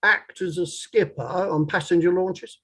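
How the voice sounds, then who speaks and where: A methodical inquiry lawyer played by a man in a play reading with a notably high-pitched voice for a male. He questions formally and precisely, probing point by point. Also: measured pace, a bit bright, husky, heavily acted. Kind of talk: table read of an inquiry play.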